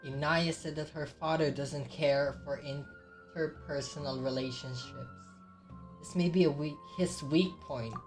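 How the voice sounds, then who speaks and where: A woman's voice: low-pitched, steady tone, measured pace. A woman whose native language is Filipino, streaming a game casually and reading dialogue choices aloud. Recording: game music behind. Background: game music.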